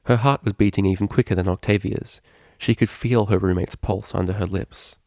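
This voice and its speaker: A man's voice: sits low, fairly even intonation, normal speed, vocal fry, mellow, dry recording, studio quality. A man narrating a scripted story as a fan fiction reader.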